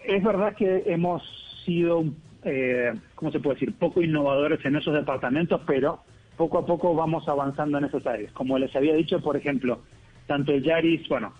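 Argentine exec by phone, higher-pitched, lo-fi phone sound, measured corporate talk. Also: male voice. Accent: Argentine Spanish. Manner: measured, corporate phrasing with fillers. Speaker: car company executive, guest. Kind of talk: phone interview on radio. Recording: tinny phone line.

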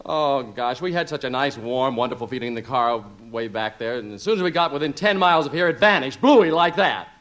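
An actor playing an excitable, sentimental husband and father in a 1950s radio comedy, stammering and emphatic. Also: mid-range voice, brisk, hardly any pauses, close to an everyday voice. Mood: disgruntled. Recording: vintage 1950s radio recording.

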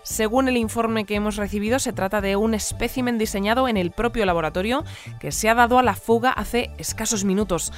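Composed female bulletin presenter, played by an actress, measured delivery, music underneath, fictional audio drama. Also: mid-range voice, lightly acted. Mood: composed, announcing. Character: composed female news presenter of a surreal science community. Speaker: news presenter. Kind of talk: scripted news bulletin. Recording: music bed behind the voice.

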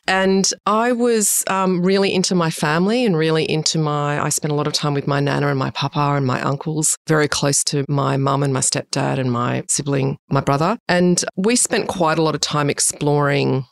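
Gravelly Australian woman, chatty guest. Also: low voice, moderate pace, hardly any pauses. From Sydney, Australia. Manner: chatty and expressive, with frequent fillers. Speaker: guest, urban design director. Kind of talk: conversational podcast interview.

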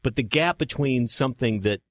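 A man's voice: medium pitch, brisk, dry recording. A middle-aged man interviewing casually as a podcast host, with a joking, teasing manner.

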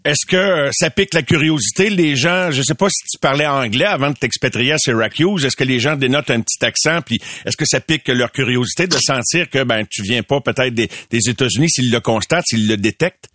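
An older man asks long, winding questions in an eager tone. His voice is of middle pitch. The sound is dry and close. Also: a little fast, few pauses, fairly bright tone, no room sound. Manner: wordy, enthusiastic questions. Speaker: sports interviewer. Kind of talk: radio sports interview.

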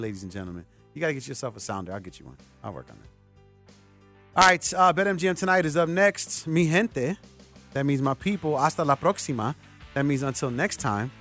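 A man's voice: a little high, fairly bright tone. A man hosting a sports radio show in a casual, conversational, loosely rambling way, close on a studio microphone. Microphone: close broadcast microphone.

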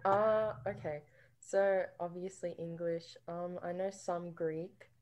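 A young woman co-hosting a student chat show, eager and quick to chime in. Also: lowish voice, little pitch movement, darkish tone. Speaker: student co-host. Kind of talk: casual chat.

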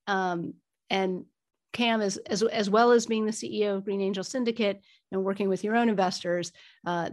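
A woman hosting a professional podcast interview, introducing her guest and asking questions with a friendly manner and some repeated words. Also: mid-range voice. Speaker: podcast host, law firm partner.

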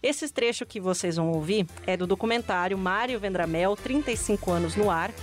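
A woman's voice: medium pitch, fairly quick, faint music behind. Woman hosting a podcast. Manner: clear, welcoming presenter. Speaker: podcast host. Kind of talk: scripted podcast narration and interview.

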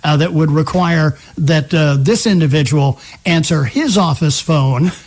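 A man's voice: a little high, unhurried, a little mellow. A man hosting a live talk radio show, chatting conversationally on a close studio microphone.

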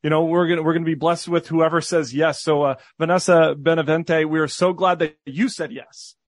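A man co-hosting a radio interview, chatty and relaxed with fillers. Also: fairly high pitch, a little fast, no room sound, studio quality. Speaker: radio co-host.

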